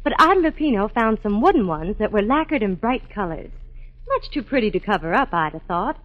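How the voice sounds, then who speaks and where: A woman's voice: mid-range voice, very animated, a little fast. An American woman giving scripted, chatty fashion and beauty tips as a 1940s radio reporter. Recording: dull old 1940s radio broadcast.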